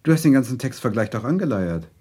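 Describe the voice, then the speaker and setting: An actor playing a dryly caring university lecturer, with a husky voice, in a radio drama. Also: male voice, mid-range voice, big pitch swings, quick pace, dark tone. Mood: surprised, dry.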